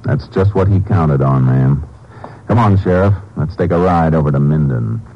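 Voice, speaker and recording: Deep-voiced, courteous lawman. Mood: quietly determined. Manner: measured, polite, matter-of-fact questioning. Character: calm, courteous, seasoned Texas Ranger investigator. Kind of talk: old-time radio crime drama acting. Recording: muffled, noisy vintage radio recording.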